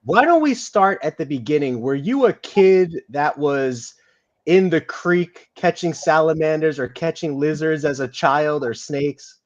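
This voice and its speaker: A man's voice: on the high side, lively intonation, unhurried, highs cut off. A man hosting a live stream interview, friendly and encouraging as he asks questions. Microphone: video call.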